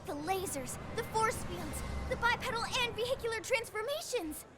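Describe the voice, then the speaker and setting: A young woman playing an excitable, geeky mech enthusiast in a video game, with a chatty, informal manner. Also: extremely high pitch, moderate pace, heavy background noise. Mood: gushing, starstruck. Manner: gushing, informal, bubbly. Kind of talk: English video game cutscene acting.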